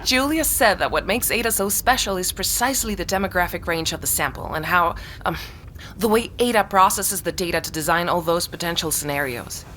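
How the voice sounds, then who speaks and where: A woman's voice: on the low side, expressive, a little fast, fairly bright tone, slight background noise. An actress playing an earnest young woman who explains her suspicions insistently, in naturalistic audio drama dialogue. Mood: eager, explaining. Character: earnest young woman convinced of a tech conspiracy.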